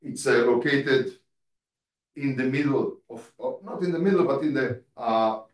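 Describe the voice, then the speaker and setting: A man's voice: medium pitch, measured pace. A man whose first language is Hebrew gives a public lecture in English, hesitant, with many fillers and restarts. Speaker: guest lecturer. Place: lecture hall. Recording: echoey hall from far off.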